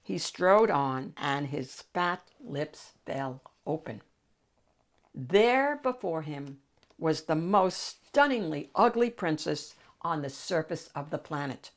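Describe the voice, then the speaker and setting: A woman's voice: sits low, lively intonation, slow. A woman reading a picture book aloud expressively, as the host of a storytime. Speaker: storybook reader and channel host.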